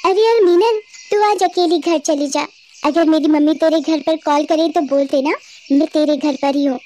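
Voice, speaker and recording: A woman's voice: high voice, steady tone, normal speed, dark tone, a little hiss or hum, close to an everyday voice. A woman voicing a naive, emotional teenage schoolgirl in a cartoon story, with a girlish, pleading manner. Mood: playful.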